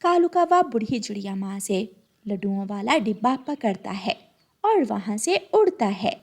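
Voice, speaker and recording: A woman's voice: medium pitch, sing-song, normal speed, gravelly, darkish tone. A woman narrating a scripted children's cartoon story as its storyteller.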